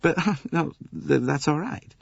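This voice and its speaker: A man's voice: fairly high pitch, measured pace, creaky, no echo, lo-fi sound, voice pushed up. An actor playing a likable young male traveller, awkwardly polite and hesitant, in an audiobook. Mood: flustered.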